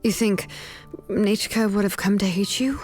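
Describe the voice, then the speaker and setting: An actress playing a resolute, compassionate young craftswoman in a video game, with a measured, earnest delivery. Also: mid-range voice, a little mellow, soft background music, natural voice. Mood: firm, sorrowful. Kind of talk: dramatic video game voice acting.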